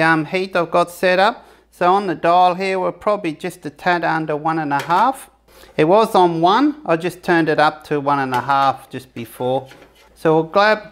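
A man giving a casual, rambling cooking demonstration for his camping review channel, often restarting his sentences. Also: fairly high pitch. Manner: chatty, rambling, self-correcting.

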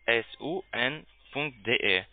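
A man with a foreign accent, a call centre agent speaking halting, broken sentences and repeating himself. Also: mid-range voice, lively intonation. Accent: foreign accent in German. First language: not German. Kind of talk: phone conversation. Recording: dry narrow phone line.

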